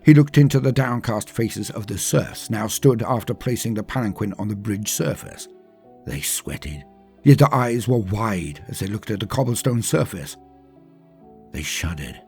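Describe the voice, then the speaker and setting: A man's voice: on the low side, a little mellow. A man reading a scripted story as a dramatic narrator, with theatrical, emphatic phrasing that drops away at line ends. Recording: music under the voice.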